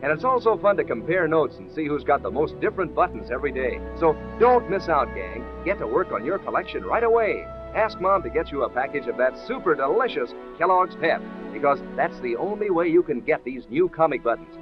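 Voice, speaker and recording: Male announcer, lively swinging intonation, folksy, enthusiastic, 1940s children's radio ad, dull lo-fi sound, background music. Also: on the high side, a little fast, hardly any pauses. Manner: chummy, folksy, enthusiastic selling.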